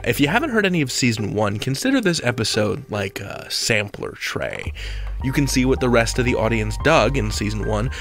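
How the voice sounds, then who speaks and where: A man hosting a podcast in a scripted yet conversational style, with a playful, theatrical and wry delivery. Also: mid-range voice. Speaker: podcast host. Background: music.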